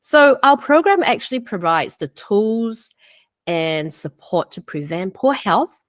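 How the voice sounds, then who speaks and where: A woman's voice: mid-range voice, big pitch swings, slow, dry recording. A woman in her forties from Singapore, a health coach giving a live online presentation on a video call in an earnest, chatty way, full of fillers and "okay?" check-ins. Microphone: computer mic on a video call.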